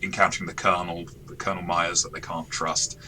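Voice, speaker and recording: A man with a very low voice talks casually as game master, reviewing an adventure he ran. His tone is bright and forceful, and he talks in a chatty, self-deprecating way, restarting his sentences often. A faint hiss sits behind him. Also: flat delivery, quick pace.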